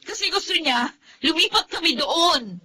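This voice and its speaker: A woman's voice: very high-pitched, very animated, normal speed, bright, forceful tone, a little hiss or hum, exaggerated voice. A woman with a Filipino accent playing a stubborn, emotional young newlywed wife in a radio drama. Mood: agitated. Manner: emotional, pleading.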